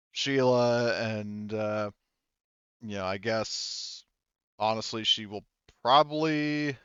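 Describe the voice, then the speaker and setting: A man running a tabletop roleplaying game as game master, talking casually and haltingly as he thinks aloud through the rules. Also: fairly low pitch, a bit bright, clear, resonant tone, dry recording, dull audio.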